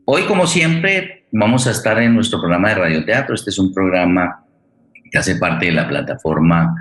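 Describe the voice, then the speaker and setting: A man hosting a live radio theatre program, speaking haltingly as he searches for words. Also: low voice, animated, a bit bright. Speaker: program host. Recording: roomy, echoing sound.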